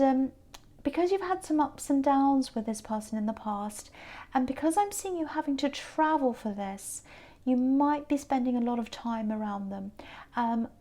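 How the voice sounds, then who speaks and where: A tired woman, giving a gentle, reassuring tarot reading that sometimes drops to a whisper. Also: a little high. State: tired, croaky voice. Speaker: tarot reader.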